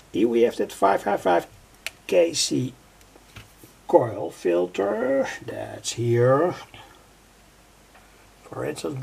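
An older man giving an unscripted technical tutorial in a halting, repetitive way, piecing phrases together. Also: medium pitch, a little mellow. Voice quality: husky, gravelly. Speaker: electronics hobbyist presenter. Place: indoors at a worktable.

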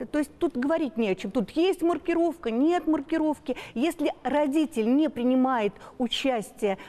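A woman's voice: sits high, mellow. A woman in her sixties speaking as a talk show panel guest, making emphatic points one by one and repeating herself. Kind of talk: opinionated panel discussion, unscripted. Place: TV studio with seated panel.

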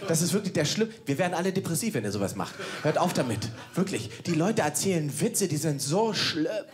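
A man's voice: fairly high pitch, sing-song, brisk, soft background music. A man in his thirties from East Germany doing a sarcastic, ranting stand-up set on a handheld mic in a small cellar club. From Saxony-Anhalt, East Germany. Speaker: stand-up comedian. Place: small cellar comedy club with live audience. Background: audience laughter.

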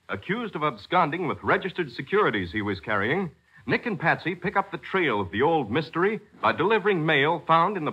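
A man working as a 1940s radio announcer, reading a script with emphatic, salesmanlike delivery and a husky voice. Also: on the high side. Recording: dull, lo-fi 1940s radio recording.

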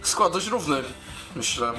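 Animated, high-voiced young-sounding male gamer. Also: a little slow, music in the background. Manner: excitable, exclaiming, informal with swearing. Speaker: gaming YouTuber. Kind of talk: casual gameplay commentary.

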